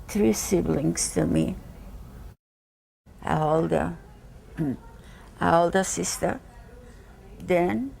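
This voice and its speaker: Gravelly older woman, slow broken English. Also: on the low side, a little mellow, low-quality recording. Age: 80s. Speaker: Holocaust survivor interviewee. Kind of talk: recalled testimony.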